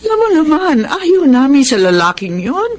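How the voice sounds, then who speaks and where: A woman's voice: high-pitched, very animated, normal speed, crowd noise. A woman acting a distressed, disapproving middle-aged mother in a radio drama. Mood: hurt, upset. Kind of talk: Filipino radio drama melodrama.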